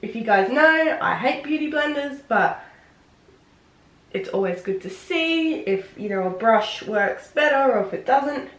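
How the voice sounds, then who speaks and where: Woman, stuffed-up nasal voice, fairly high pitch, excitable chatter, roomy echo. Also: a little slow. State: sick with a head cold, nasal and sniffly. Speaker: beauty vlogger. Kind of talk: casual makeup tutorial. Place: home room. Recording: roomy, echoey room.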